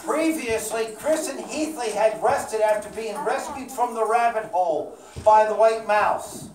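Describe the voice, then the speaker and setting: Casting: woman reading and quizzing for kids, unhurried, recorded far off in a roomy, noisy space. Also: fairly high pitch, a little mellow. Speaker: children's puppet show leader and narrator. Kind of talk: reading and quizzing children aloud. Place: small indoor classroom with children. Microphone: distant camera microphone. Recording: echoey room, far mic, clear noise.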